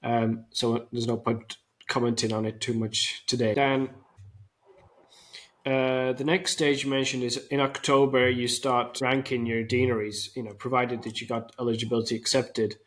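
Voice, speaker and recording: A man's voice: mid-range voice, level tone, normal speed, a bit bright. A man hosting an interview, asking structured questions and adding practical information. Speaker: interviewer, host.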